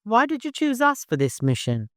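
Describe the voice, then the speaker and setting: A man's voice: very high voice, huge pitch swings, moderate pace, no room sound, clean studio sound, pitched above the performer's own voice, exaggerated voice. A man doing a surly, stubborn warrior. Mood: questioning. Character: thick-set balding male warrior, surly and stubborn.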